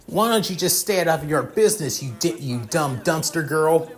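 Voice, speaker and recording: A narrator voicing an aggressive, hot-tempered young schoolboy bully who shouts and taunts. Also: mid-range voice, animated, slow pace, soft background music. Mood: angry.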